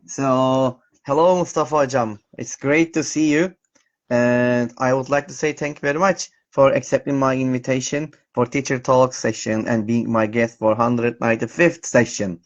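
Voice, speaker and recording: Turkish-accented man hosting, gravelly voice. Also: medium pitch, moderate pace. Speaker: host of an online teacher talk series. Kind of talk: casual hosted live interview. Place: online video call live stream. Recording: dull, lo-fi live-stream audio.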